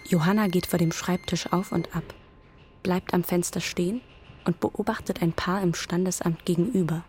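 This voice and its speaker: An actress narrating a radio play in a measured, observational way. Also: female voice, fairly low pitch, steady tone, a little mellow, slight background noise, natural voice. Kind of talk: scripted radio drama narration.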